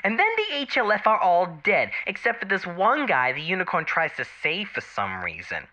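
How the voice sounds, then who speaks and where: A woman plays an excitable, chatty pony mare in a fan audio drama. Her voice is bright and swings widely as she rambles dramatically, over dull lo-fi sound. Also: fairly low pitch, moderate pace. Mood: indignant, rattled. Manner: casual, rambling, dramatic retelling. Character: excitable peach-colored pony mare receptionist, chatty eyewitness.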